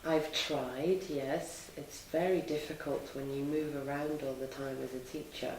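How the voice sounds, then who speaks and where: A woman in her thirties, a teacher, giving calm, measured answers in an interview. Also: sits low, a little hiss or hum. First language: English. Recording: echoey room, mic at a distance.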